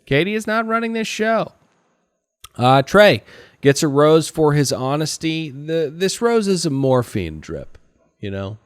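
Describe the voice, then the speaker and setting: A man's voice: a little high, big pitch swings, unhurried, smooth, dry recording, clean studio sound. A man co-hosting a podcast, giving a casual comedic recap in a sardonic, sweary way. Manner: sardonic, profane. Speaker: podcast co-host recapping a TV show.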